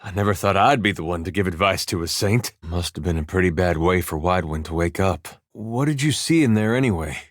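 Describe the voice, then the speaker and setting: An actor playing an easygoing, wry farmer turned war veteran adventurer in a video game, with a folksy, drawling, dryly humorous delivery. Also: male voice, low-pitched, a little fast, hardly any pauses, no echo, clean studio sound. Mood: reflective, troubled. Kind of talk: video game character dialogue.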